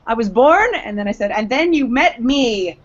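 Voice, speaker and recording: A woman's voice: a little high, expressive, normal speed, slight background noise. Playful casual woman, slightly muffled recording. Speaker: podcast co-host. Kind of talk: casual banter about anime. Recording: dull lo-fi audio.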